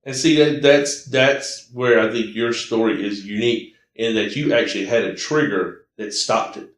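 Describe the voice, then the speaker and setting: A man's voice: medium pitch, normal speed. An American man hosting a casual interview, affirming and restating what he is told in a conversational way. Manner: frequent affirmations, restates what guest says. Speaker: podcast host interviewing a guest. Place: indoor room, in-person conversation. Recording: roomy, echoey indoor recording.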